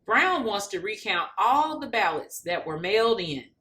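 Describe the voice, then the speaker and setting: A woman reading a picture book aloud for children in an expressive way. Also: mid-range voice, measured pace.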